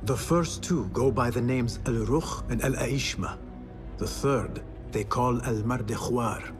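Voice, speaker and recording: A man's voice: mid-range voice, unhurried, music in the background, natural voice. Young assassin hero, male actor: mid-range voice, terse, resolute, for game cutscenes. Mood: serious, intent. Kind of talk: dramatic video game acting.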